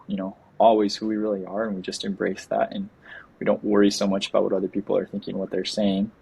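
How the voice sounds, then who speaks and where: Podcast host, man, deep flat husky voice, earnest and eager. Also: quick pace, poor audio. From United States. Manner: earnest, enthusiastic, often restarts words. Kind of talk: casual interview chat.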